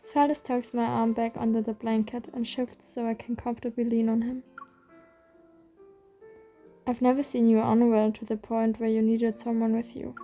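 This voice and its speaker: A woman reading a game's story aloud in a steady first-person voice. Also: on the high side, moderate pace, soft background music. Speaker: reader.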